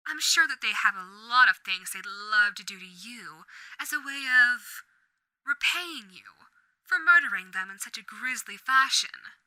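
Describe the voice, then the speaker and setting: A woman's voice: a little high, lively intonation, measured pace, bright, forceful tone, dry recording, full character voice, poor audio. A woman voicing a charming, manipulative female overlord demon in an audio roleplay, speaking in a theatrical, coaxing way with whispered asides. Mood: sweetly menacing. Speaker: voice actor.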